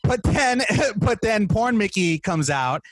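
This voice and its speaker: A man hosting a podcast interview in a casual, joking, rambling and excitable way. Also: high-pitched, slow, noisy background. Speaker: podcast host.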